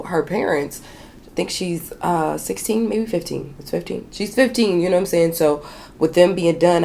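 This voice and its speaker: A young woman with a raspy, hoarse voice, chatting candidly and swearing casually as she does her makeup at home. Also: warm tone, a little hiss or hum. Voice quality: raspy, deep voice. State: hoarse from sinus trouble. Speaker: beauty vlogger. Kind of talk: casual chat while doing makeup. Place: small bedroom with shelves and a lamp.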